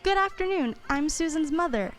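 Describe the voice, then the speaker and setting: A woman plays a practical career mother in a radio drama. Her voice is fairly high and her manner dry and matter-of-fact, with faint music behind. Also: lively intonation, normal speed. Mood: reserved. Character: brisk, practical working mother, store executive. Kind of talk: student radio play acting.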